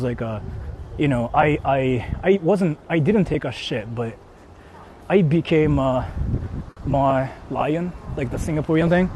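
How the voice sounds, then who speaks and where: A man with a Japanese accent talks in a slightly high voice over light outdoor city noise. He rambles casually like a live streamer, informal and blunt as he chats with viewers. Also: darkish tone. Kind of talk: casual livestream chat, answering viewers. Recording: noisy outdoor street, traffic ambience. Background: urban ambience and vehicles.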